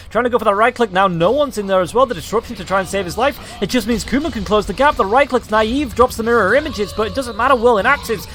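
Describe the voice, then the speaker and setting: A slightly hoarse man calling a match in quick, excited play-by-play commentary. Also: sits high, slight background noise. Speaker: esports caster.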